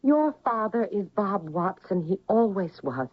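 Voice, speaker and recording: An actress playing a calm, firm wife in a radio drama, measured and husky. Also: female voice, medium pitch, no room sound. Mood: soothing, firm. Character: calm, firm, devoted wife soothing her husband. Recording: muffled old radio broadcast.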